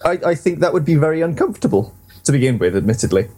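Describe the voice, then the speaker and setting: A male co-host chats casually at a quick clip. His mid-pitched voice is husky, and he slips in dry jokes. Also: very animated, warm tone. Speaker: podcast co-host. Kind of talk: casual gaming podcast chat.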